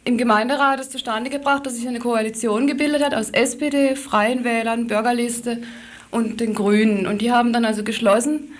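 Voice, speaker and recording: Higher-voiced woman explaining in an interview. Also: fairly even intonation, brisk. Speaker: activist spokesperson being interviewed. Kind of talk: spontaneous explanatory interview answers.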